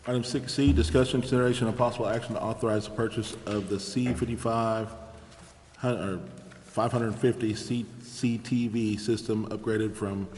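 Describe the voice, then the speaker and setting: A man's voice: fairly low pitch, little pitch movement, moderate pace, darkish tone. An American man chairing a city council meeting, formally reading agenda items and calling for votes. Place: large indoor council chamber. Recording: echoey council chamber, clicks, background noise.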